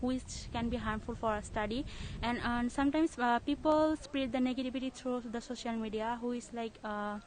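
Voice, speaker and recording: Woman from Bangladesh, young student, fairly high voice, hesitant answers with fillers. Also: normal speed, hardly any pauses, low-quality recording. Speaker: student interviewee.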